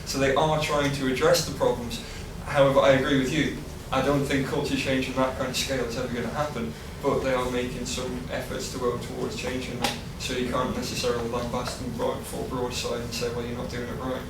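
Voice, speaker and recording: A man's voice: mid-range voice, level tone, fairly quick, gravelly, hardly any pauses. A man from Newcastle with a Geordie accent, speaking as a panelist in a discussion. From Newcastle, England. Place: barbershop event space. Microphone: far room mic. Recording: echoey room from far off, background noise.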